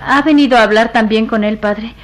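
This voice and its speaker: A woman's voice: fairly high pitch, fairly quick, soft background music, lightly acted. An actress playing a devoted, innocent woman in love in a radio drama, hesitant and emotive. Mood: searching, puzzled. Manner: hesitant, emotive, questioning delivery. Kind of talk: melodramatic radio drama acting.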